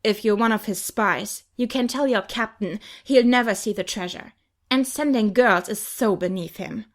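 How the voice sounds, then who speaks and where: A woman's voice: medium pitch, moderate pace, close to an everyday voice. A bossy teenage boy leader from a fantasy storybook, read by a woman. He sounds suspicious and demanding, handing out orders and accusations with a scowl. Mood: suspicious, accusing.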